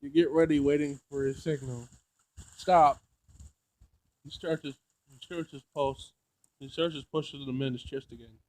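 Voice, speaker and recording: A man playing through a visual novel, reading it aloud in an emotional, halting way with dramatic outbursts. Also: mid-range voice, fairly even intonation, mellow, dry recording, poor audio. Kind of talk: let's play narration with loud reactions.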